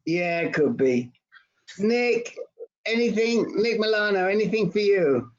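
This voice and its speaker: Guy running a support group call, talks slow and chatty, jokes around, kinda muffled lo-fi sound. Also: high voice, animated, a little hiss or hum. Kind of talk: casual group roll call. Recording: dull lo-fi online group call.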